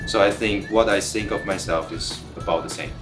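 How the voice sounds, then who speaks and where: Low-voiced young man, echoey room. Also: fairly even intonation. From Taiwan. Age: 20s. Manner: earnest, halting, with restarts. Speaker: university student interviewee. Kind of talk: casual interview answers. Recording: roomy echo with guitar music.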